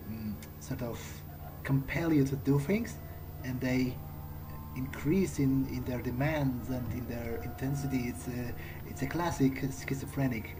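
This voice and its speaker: A man's voice: medium pitch, background noise. A man doing in-character let's play commentary on a video game, hesitant and thinking aloud. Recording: game music clearly behind voice. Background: video game soundtrack.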